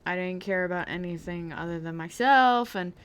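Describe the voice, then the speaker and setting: Hesitant young woman, slightly low voice. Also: normal speed, slight background noise. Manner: hesitant, lots of ums and restarts, self-deprecating; talks while playing a driving video game. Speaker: vlogger. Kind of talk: casual personal story time. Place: her bedroom.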